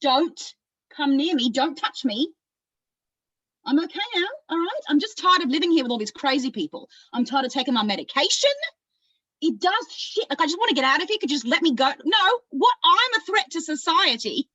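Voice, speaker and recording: A woman's voice: on the high side, expressive, fairly bright tone, no echo, muffled recording. A woman playing an agitated, pleading psychiatric patient with an Australian accent in a dramatic stage monologue, volatile and repeating herself. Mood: agitated, desperate. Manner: volatile, confrontational, halting and repeating.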